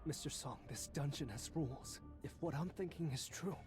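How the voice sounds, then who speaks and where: Young rookie hunter man acting, anime game; halting, perceptive, higher male register, clear music bed. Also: natural voice. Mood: hesitant, tense.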